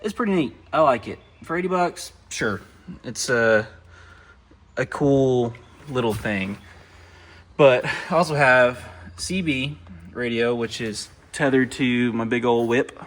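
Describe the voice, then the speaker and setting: A man's voice: mid-range voice, slow pace, a bit bright. Laid-back rambling man in his car. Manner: rambling and loose, heavy on fillers and trailing thoughts. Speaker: vlogger showing his car setup. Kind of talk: casual show-and-tell vlog. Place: inside a parked car. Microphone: camera mounted in the car.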